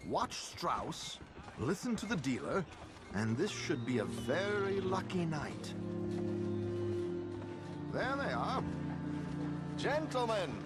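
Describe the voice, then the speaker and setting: Posh-mannered game con man, theatrical and breezy, swoops all over, unhurried, loud music behind. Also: high-pitched, sing-song, full character voice. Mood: brisk, instructing, then effusive, showy. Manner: theatrical, florid, grandly charming. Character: flamboyant, scheming, cultured gentleman con man, breezily confident. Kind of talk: video game cutscene dialogue.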